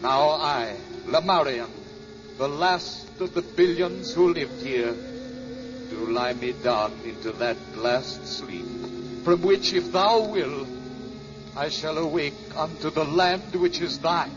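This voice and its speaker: Casting: grand, reverent last man of his race, unhurried, vintage radio sound under loud music. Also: fairly high pitch, expressive. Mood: solemn farewell. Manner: grand, ceremonial, declaiming. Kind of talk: 1950s radio drama acting. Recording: old muffled radio recording, loud music.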